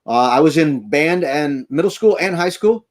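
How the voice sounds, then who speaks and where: Guy rambling on his live stream from his camper, kinda high voice, thanks everyone in chat. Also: animated, normal speed. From Florida, United States. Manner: chatty, reads out and thanks viewers. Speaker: livestream host. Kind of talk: casual rambling livestream chat.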